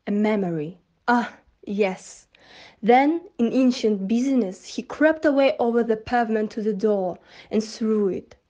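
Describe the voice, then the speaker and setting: A woman's voice: a little high, a little mellow. A young woman reading literary prose aloud indoors on a lo-fi recording. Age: young adult. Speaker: student reader. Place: indoor room in front of curtains. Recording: dull lo-fi recording.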